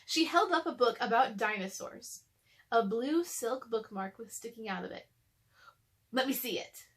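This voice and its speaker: A woman's voice: on the high side, lively intonation, moderate pace. A woman hosting a children's read-aloud, narrating a storybook expressively.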